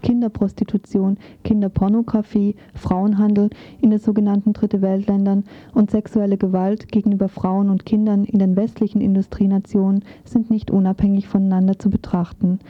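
A woman's voice: medium pitch, little pitch movement, fairly quick, hardly any pauses, dry recording. A woman reading a scripted radio feature as a presenter, on a muffled, narrow recording.

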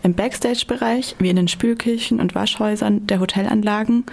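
Female radio presenter, creaky mid-pitched voice, scripted factual narration. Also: steady tone, a little fast, a little mellow.

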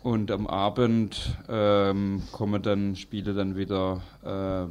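Slow, halting male interview guest. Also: on the low side, flat delivery, darkish tone, clear, resonant tone, dull audio. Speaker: event organiser as interview guest. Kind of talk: informal radio interview. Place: radio studio.

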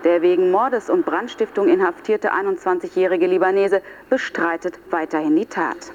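A woman's voice: fairly low pitch, steady tone, moderate pace. A woman newsreader reading scripted radio news in a formal, factual manner. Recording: dull lo-fi radio recording, light noise.

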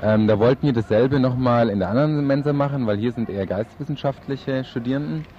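A young man giving spontaneous, halting answers as a student activist in an interview. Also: medium pitch, a little hiss or hum. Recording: dull lo-fi outdoor field recording.

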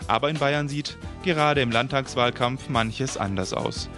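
A man's voice: medium pitch, normal speed. A man presenting a radio news magazine, reading scripted introductions over music. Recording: music bed under the voice.

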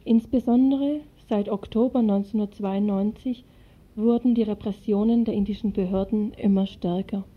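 A woman's voice: medium pitch, fairly even intonation, normal speed. A woman narrating a scripted radio report as a contributor to a news feature. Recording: dull, band-limited radio recording.

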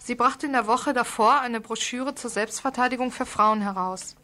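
A woman's voice: a little high, normal speed, pushed and bright. A woman reporter reading a scripted news report for radio.

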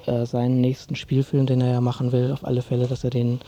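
A man's voice: mid-range voice, completely flat delivery, fast talker, warm tone. A man speaking casually as an interviewee, colloquial and hesitant, often adding tag questions. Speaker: interviewee from a local media workshop.

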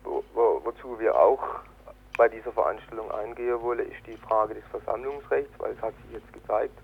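A man interviewed as an activist, explaining earnestly and haltingly over a noisy, tinny phone-like line. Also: on the low side, vocal fry, husky. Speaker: political activist being interviewed. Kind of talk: spontaneous spoken interview.

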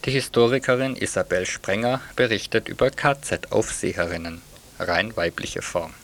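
A man's voice: on the low side, normal speed, fairly bright tone. A man reading a scripted radio theatre review in a wry, opinionated manner.

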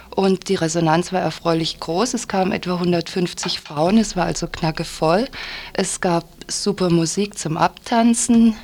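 A woman's voice: lowish voice, darkish tone. A woman giving a scripted, informally worded local radio report.

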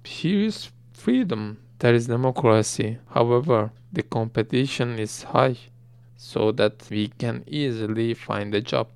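A man giving an English summary as interpreter, in a measured, deliberate delivery. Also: medium pitch, animated, vocal fry, clear, resonant tone, no echo. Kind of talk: translated summary.

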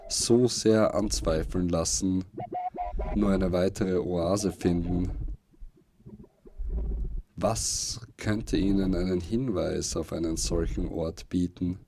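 A man reading scripted narration for an audio play in a deliberate, brooding, dramatic way, with pauses. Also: low voice. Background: music and noise loops under the voice.

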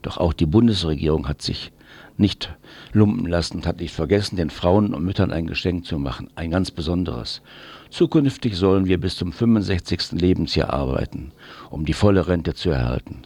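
A man delivers a scripted commentary for morning radio. His voice is very low, and his manner is dry and ironic. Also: moderate pace, darkish tone, slightly rough. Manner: dryly ironic. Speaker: radio presenter.

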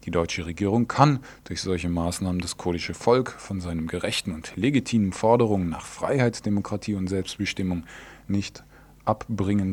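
A man presenting radio news, reading reports and quotations from a script in a somewhat halting, self-correcting way. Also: low-pitched, slightly rough.

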